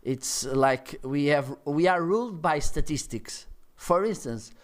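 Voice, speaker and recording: Male, non-native English speaker, higher-than-average pitch, conversational, halting, slips into short acted-out dialogues. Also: expressive. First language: not English. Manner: halting, searching for words, acts out short dialogues. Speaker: marketing trainer. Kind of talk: casual conversational talk.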